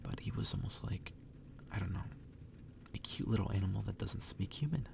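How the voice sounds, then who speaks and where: Guy doing asmr story reading, super crackly low voice, mostly whispering. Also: a little fast. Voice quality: breathy whisper, gravelly low voice. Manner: soft, hushed reading aloud. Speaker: ASMR reader.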